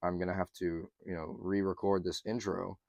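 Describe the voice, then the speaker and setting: A man, slightly hoarse, chatting casually through a van stove install, with lots of ums and throat clearing. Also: low voice, level tone, moderate pace, darkish tone, no room sound. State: slightly hoarse, losing his voice. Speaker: van life vlogger. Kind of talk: casual how-to narration to camera.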